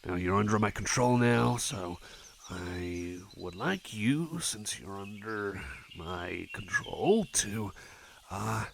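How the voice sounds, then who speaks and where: A man's voice: fairly low pitch, gravelly, background noise, full character voice. A man playing a shy, friendly cyborg chum in an ASMR roleplay, talking in a hesitant, stammering, rambling way. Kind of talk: personal attention ASMR roleplay.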